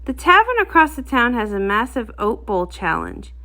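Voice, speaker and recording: A woman's voice: a little high, big pitch swings, normal speed, bright, forceful tone. A woman voicing a jovial pony guard in a storybook, hearty and coaxing.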